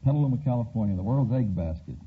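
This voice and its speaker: A man giving halting interview answers as a contestant on a radio quiz. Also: mid-range voice, animated, noisy background. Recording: tinny, narrow-band vintage radio recording.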